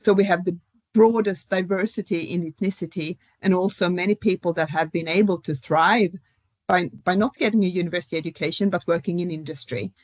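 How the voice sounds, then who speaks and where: A middle-aged professor, speaking as a guest in an academic panel discussion. She explains at a measured pace, with frequent fillers. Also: on the low side, fairly even intonation, a little mellow, dry recording. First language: Swedish.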